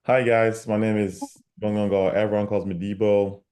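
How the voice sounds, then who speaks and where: A man's voice: lowish voice, flat delivery, normal speed, a little mellow. A middle-aged man from Cameroon giving a casual, repetitive talk about job searching over a video call. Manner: repetitive, conversational, emphatic. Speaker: guest speaker on job searching.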